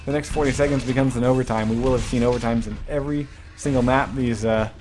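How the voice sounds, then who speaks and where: A man's voice: mid-range voice, fairly even intonation, fast, music in the background. A man giving casual, joking play-by-play commentary on an online game match, swearing freely. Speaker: esports commentator.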